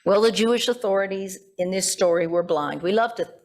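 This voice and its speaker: Lady pastor preaching, medium pitch, takes it phrase by phrase, leans on key words. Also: darkish tone. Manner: deliberate, emphatic phrasing with rhetorical questions. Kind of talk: sermon.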